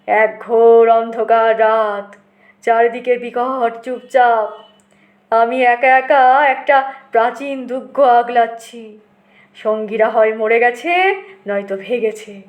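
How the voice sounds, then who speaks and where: A woman's voice: on the high side, a little mellow, roomy sound. A woman voicing a grumpy, boastful old uncle in a comic audio story, theatrical and scolding.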